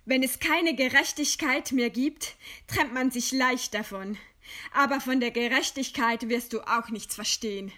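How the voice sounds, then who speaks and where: An actress playing a proud, scornful woman writer facing execution in a radio play, with a deliberate, ironic delivery. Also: fairly high pitch, pushed and bright. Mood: subdued scorn. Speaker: radio drama actor. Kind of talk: German radio play acting.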